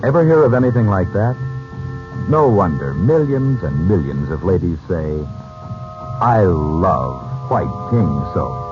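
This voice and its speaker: A man working as a 1940s radio announcer, pitching and signing off in a folksy, persuasive way straight to the listener. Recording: muffled 1940s radio recording. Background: music.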